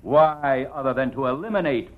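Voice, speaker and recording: An actor playing an aggressive, eloquent prosecutor in an old radio drama, crisp and oratorical with dramatic pauses. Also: male voice, medium pitch, lightly acted. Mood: insistent, grave. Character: aggressive, eloquent male assistant district attorney in court. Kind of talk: old radio drama acting, courtroom speech. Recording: dull vintage radio drama recording.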